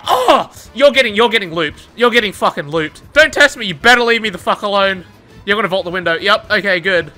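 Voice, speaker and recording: A young man with a high, very bright voice reacts to his own gameplay from a desk mic at home. He swears freely, shouts at the game and laughs, and sounds a little worn out. Game music plays faintly underneath. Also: lively intonation, a little fast. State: tired, says his voice is going. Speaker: gaming YouTuber. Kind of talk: live gameplay commentary.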